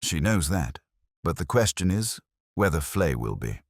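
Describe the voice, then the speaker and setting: A man voicing a calm, courteous male police inspector who talks in a quiet, measured, grave way in an audiobook. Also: sits low, no room sound, studio quality, exaggerated voice.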